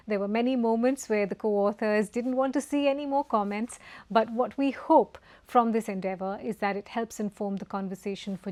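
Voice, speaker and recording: A woman opening and hosting an online research launch event, speaking in a measured, formal way. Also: fairly high pitch, darkish tone. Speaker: event host, institute director. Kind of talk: scripted event introduction.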